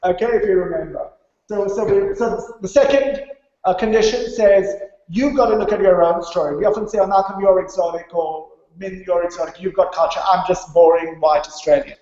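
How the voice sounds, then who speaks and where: A man's voice: high voice, steady tone, fairly quick, warm tone. Man presenting in a very echoey hall. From India. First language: English. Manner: didactic, stresses key points with check-in tags. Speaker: conference speaker. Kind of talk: conference presentation. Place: large reverberant conference room. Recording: very echoey hall, dull muffled sound.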